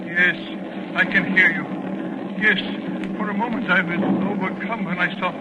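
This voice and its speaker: An actor playing a cerebral professor bearing witness to horror, in a formal, measured, literary 1940s radio style. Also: male voice, high-pitched, fairly even intonation, dull audio, natural voice. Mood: stunned, relieved. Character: cerebral male professor, a horrified eyewitness reporter. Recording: old radio recording with faint noise.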